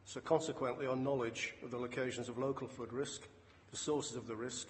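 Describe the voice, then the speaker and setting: A man presents a formal report in a large, reverberant meeting room with some background noise. His delivery is measured and matter-of-fact, with a very level pitch. He sounds like a council officer briefing a committee. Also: steady tone. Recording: echoey hall, distant, clear background noise.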